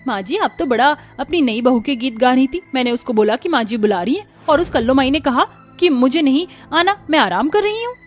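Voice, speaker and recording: A woman voicing a scheming, spiteful young sister-in-law in an animated story, mocking and accusing. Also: high voice, fairly quick, few pauses, soft background music. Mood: sly.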